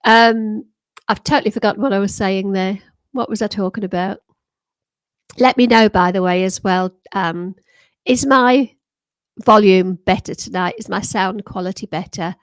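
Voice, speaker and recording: A woman's voice: medium pitch, moderate pace, dry recording. A retired British woman in her sixties, chatting casually with viewers on a livestream, rambling with frequent ums, restarts and laughs, her voice a little worn. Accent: British English. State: slightly losing her voice. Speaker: livestream host. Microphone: desktop microphone.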